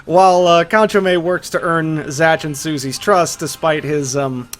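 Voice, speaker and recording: A man's voice: on the high side, steady tone, measured pace, a bit bright. A man narrating a scripted video essay as its host, in a casual, conversational way with colloquial asides. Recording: music behind the voice. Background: music.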